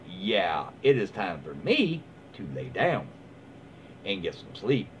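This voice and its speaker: A man's voice: low-pitched, wildly swooping pitch, slow. A man, reading stories aloud and commenting casually in a folksy, rambling, easygoing way. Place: small room at home, indoors. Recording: dull lo-fi sound with clear background noise.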